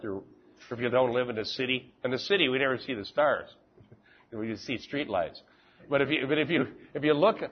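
A man teaching an informal Bible class, chatting with the group and reading scripture slowly and deliberately. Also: medium pitch, husky, highs cut off. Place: classroom with fans running.

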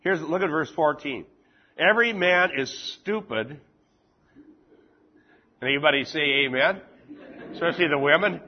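A man teaching an informal Bible class, chatting with the group and reading scripture slowly and deliberately. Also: a little high, highs cut off. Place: classroom with fans running.

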